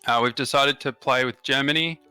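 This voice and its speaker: A man's voice: medium pitch, fairly even intonation, fairly quick, bright, forceful tone, clean tone, dry recording, soft background music. Australian man explaining a strategy game. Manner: explanatory, frequent "uh" fillers. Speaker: gaming channel host. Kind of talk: casual gameplay commentary.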